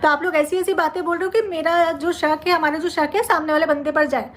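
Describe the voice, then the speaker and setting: A woman's voice: extremely high pitch, fairly even intonation, brisk, slight background noise. A woman vlogger speaking confrontationally to her social media audience, indignant and combative, hammering points through repetition.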